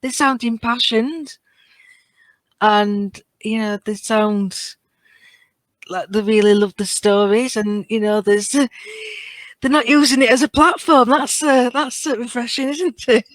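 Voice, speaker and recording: A British woman in casual fan chat as a podcast co-host, halting as she thinks aloud. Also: on the high side, dry recording.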